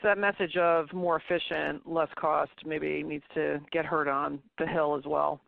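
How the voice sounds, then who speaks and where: A male analyst asks meandering questions in a higher, fry-laden voice with little intonation. The sound is that of a tinny phone line. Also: flat delivery, normal speed, dry recording. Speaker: financial analyst asking questions. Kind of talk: unscripted conference call questioning. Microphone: phone call.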